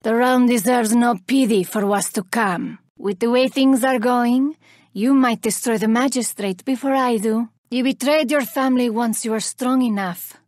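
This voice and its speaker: A vengeful female reaper from a video game, played by a woman, speaking in a fairly high voice with cold, theatrical menace. She is haughty and scornful as she heralds destruction. The recording is close and very dry. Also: normal speed, a little mellow, no room sound, close to an everyday voice. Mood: haughty contempt. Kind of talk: video game character voice lines.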